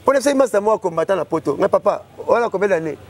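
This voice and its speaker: Brief: Congolese guest, 30s, quick-fire delivery, lapel mic outdoors. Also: male voice, sits high, expressive. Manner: gestures constantly, rapid and emphatic. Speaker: interview guest. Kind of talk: animated chat mixing French and Lingala. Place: outdoors beside a parked car.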